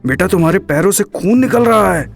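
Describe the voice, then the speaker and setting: A man's voice: high voice, lively intonation, fairly quick, vocal fry, faint music behind. A man playing a fussy middle-aged father in a comic audio drama. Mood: concerned.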